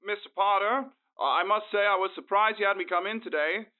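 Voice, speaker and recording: Phone-like sound, male actor as wary everyman, very high-pitched voice, polite stammer, audio drama. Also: a little fast, close to an everyday voice. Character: earnest, wary male family man of modest means. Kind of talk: comedic audio drama acting.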